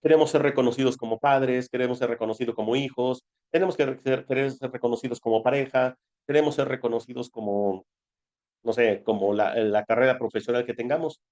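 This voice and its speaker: A man's voice: medium pitch, fairly even intonation, brisk, a little mellow, dull audio. A man with a Mexican Spanish accent giving an informal spiritual lesson, talking conversationally like a teacher. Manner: conversational, asks rhetorical questions and answers them. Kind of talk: informal explanatory spiritual talk.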